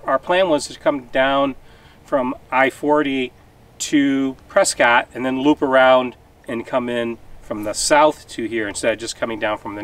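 A middle-aged man chatting casually as a travel vlogger, standing outdoors by his trailer. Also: medium pitch. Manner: chatty, loose, repeats himself. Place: outdoors beside an RV on a desert overlook. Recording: distant outdoor mic with background noise.

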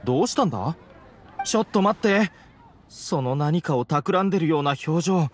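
A man's voice: fairly high pitch, expressive, moderate pace, slight background noise, natural voice. An actor playing an exasperated but good-natured young male alchemy assistant in an anime game, earnest and protesting. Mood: wary, exasperated.

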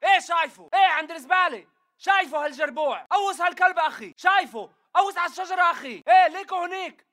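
An aggressive militant in a shooter game, barking urgent battle callouts with a Levantine Arabic accent. His voice is strained very high and rattles along fast, with a bright, forceful edge. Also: expressive, exaggerated voice. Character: hostile militant fighter barking combat callouts. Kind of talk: shouted video game battle chatter.

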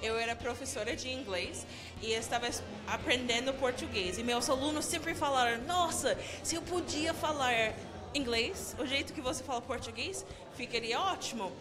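A young American woman, a native English speaker, answering interview questions with a friendly laugh and halting learner's phrasing, speaking into a handheld mic on an indoor studio set. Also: high voice, music in the background, low-quality recording. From United States. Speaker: guest youtuber. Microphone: handheld mic held by interviewer.

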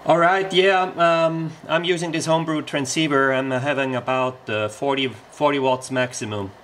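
A man's voice: a little high, fairly even intonation, normal speed, fairly bright tone, slight background noise. A man making a casual amateur radio contact, speaking politely and using radio procedure words.

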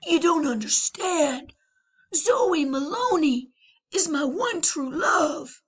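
A woman's voice: high voice, lively intonation, slow, pitched above the performer's own voice. A woman voicing an earnest, lovestruck young schoolboy who pleads eagerly.

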